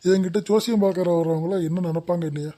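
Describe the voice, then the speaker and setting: A woman's voice: fairly low pitch, fast talker, dark tone, lightly acted. A woman voicing a hapless, grumbling male astrologer in a cartoon comedy. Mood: aggrieved.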